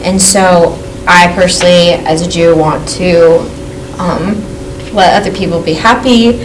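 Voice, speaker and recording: Nervous female student, lowish voice. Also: fairly even intonation, unhurried. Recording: distant mic, noisy classroom.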